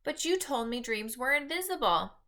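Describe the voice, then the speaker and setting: A woman plays an eight-year-old girl from a children's storybook. The voice is bright and a little high. She is curious and outspoken, asking direct questions and standing her ground. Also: very animated, moderate pace, heavily acted. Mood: questioning. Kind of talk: read-aloud children's storybook.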